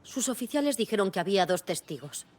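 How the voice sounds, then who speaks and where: An actress playing a composed, sharp female FBI agent in a dubbed video game, speaking in a measured, businesslike, analytical way. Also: medium pitch, very animated. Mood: calm questioning. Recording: music behind the voice.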